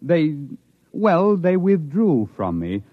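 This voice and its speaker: An actor playing a stranded spaceship survivor in an old radio drama, a man telling his story reflectively, with hesitant, dramatic pauses. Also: fairly high pitch, big pitch swings, dry recording, exaggerated voice. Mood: somber. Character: stranded spaceship survivor, lone settler man, wistful, wounded. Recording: dull vintage radio recording.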